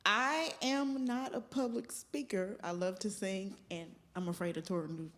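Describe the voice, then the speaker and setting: A woman's voice: mid-range voice, fairly even intonation, normal speed. A self-effacing, joking woman informally introducing herself to a group as a sheriff's office representative. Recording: faintly noisy room, distant pickup.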